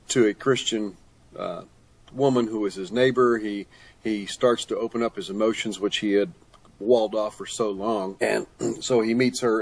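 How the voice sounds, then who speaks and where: A man's voice: on the low side, poor audio. An older man answering questions as a guest author in a conversational interview. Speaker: guest author, retired pastor and teacher.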